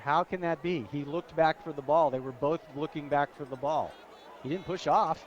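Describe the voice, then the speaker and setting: A man's voice: on the high side, moderate pace. An American man giving emphatic color commentary on a live football radio broadcast. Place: stadium press booth, radio broadcast. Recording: muffled broadcast audio.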